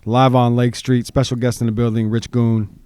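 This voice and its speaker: Host: man, mid-pitched, curious and rambling, dry close sound. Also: flat delivery, normal speed, warm tone, clean tone, no echo. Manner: curious, rambling questions with restarts. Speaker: show host. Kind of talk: casual interview hosting.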